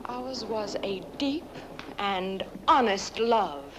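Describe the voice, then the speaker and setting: An actress playing a sweetly scheming young bride, demure and measured, in a film drama. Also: female voice, mid-range voice, background noise, close to an everyday voice. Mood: sweetly defiant.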